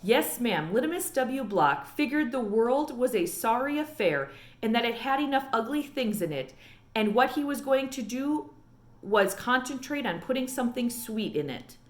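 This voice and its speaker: A woman's voice: on the high side, expressive, moderate pace, close to an everyday voice. A woman voicing an elderly lady librarian telling a family story in a storybook, in a proper, emphatic storyteller's manner. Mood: storytelling.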